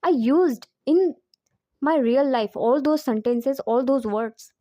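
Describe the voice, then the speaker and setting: A woman's voice: sits high, slow, warm tone, dry recording, studio quality. A woman who speaks English as a second language, giving an informal lesson from personal experience and repeating points for emphasis. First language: not English. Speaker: English teacher giving advice.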